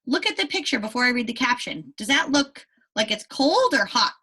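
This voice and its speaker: A woman reads to young pupils as their teacher, her voice bright and a little raspy. Her pitch swings in a lively way as she explains the text and poses simple questions to keep them following. The delivery is instructive and pitched somewhat high. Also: brisk. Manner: instructive, checks understanding with "right?" and questions. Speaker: elementary school teacher. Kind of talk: reading a children's book aloud and explaining it to pupils.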